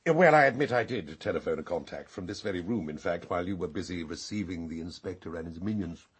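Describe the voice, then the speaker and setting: Very deep, raspy English wit. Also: male voice, lively intonation, fast. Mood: amused gossip. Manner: arch, drily amused, literary turns of phrase. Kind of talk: radio drama acting.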